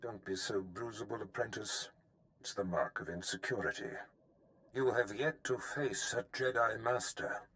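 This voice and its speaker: A man's voice: on the low side, normal speed, pushed and bright. A man playing a cruel, scheming Sith master in a video game, speaking in a condescending, theatrical manner.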